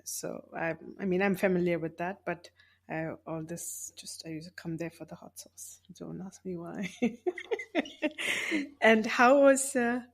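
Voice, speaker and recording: A woman's voice: fairly low pitch, measured pace. Woman from India, hosting a podcast. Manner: conversational, thinks aloud with hesitations. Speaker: podcast host. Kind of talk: casual interview conversation.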